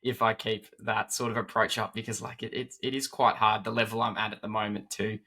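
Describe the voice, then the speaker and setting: A man hosting a casual conversation, relaxed and full of hedging words. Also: on the low side, brisk. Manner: relaxed, sprinkled with hedges like "sort of". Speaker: podcast host.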